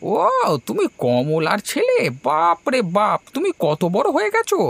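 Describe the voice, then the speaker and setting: A friendly, nosy older village eatery keeper from a cartoon, played by a man. The voice is high and gravelly, leaping about in pitch with familiar, generous chatter. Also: unhurried, dry recording, full character voice. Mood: friendly, curious. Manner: animated, familiar, questioning. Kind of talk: animated horror story dialogue.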